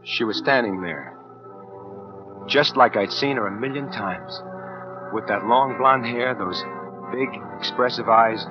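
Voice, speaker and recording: An actor playing a tough, jittery killer on the run in a 1940s radio noir, with clipped, slangy delivery. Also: male voice, medium pitch, measured pace, pauses often, close to an everyday voice. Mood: entranced. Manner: slangy, clipped, hard-boiled. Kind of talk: 1940s radio noir acting, first-person narration. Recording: dull vintage 1940s radio with music.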